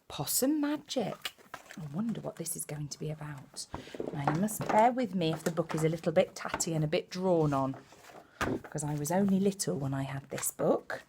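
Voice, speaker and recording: A woman reading a picture book to children like a primary teacher, expressive and exclaiming, with chatty asides. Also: deep voice, moderate pace, background noise. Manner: expressive, exclaiming, with gasps and hushed dramatic moments.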